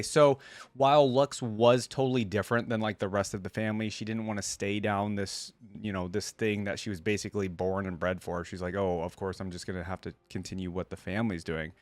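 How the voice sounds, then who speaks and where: Low-voiced man doing casual reaction commentary. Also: no room sound. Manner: conversational, pauses reading to comment. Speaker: reaction video host. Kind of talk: casual reaction commentary mixed with reading aloud.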